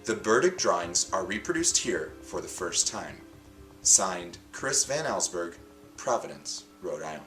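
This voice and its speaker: A man hosts a storybook read-aloud in a lowish, very animated voice. He phrases each line dramatically and deliberately, leaving emphatic pauses. Faint music plays behind him. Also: low-quality recording. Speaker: host reading a picture book aloud and setting a writing challenge.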